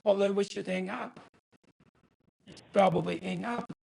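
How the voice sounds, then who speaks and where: Man voicing a high, gravelly, stubborn old fellow. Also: measured pace, dark tone, heavily acted. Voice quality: raspy, creaky, high-pitched. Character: stubborn, thrifty, naive older man, a scam victim. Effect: AI voice changer. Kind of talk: improvised phone conversation.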